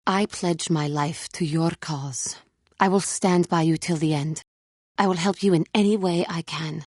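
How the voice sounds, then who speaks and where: An actress playing an earnest, solemn alien Jedi woman warrior in a video game, devoted but self-doubting, speaking in formal, measured phrases that halt with emotion. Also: lowish voice, fairly even intonation, a little mellow, no echo, clean studio sound. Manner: formal, measured diction without contractions, halting when emotional. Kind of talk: scripted video game character dialogue.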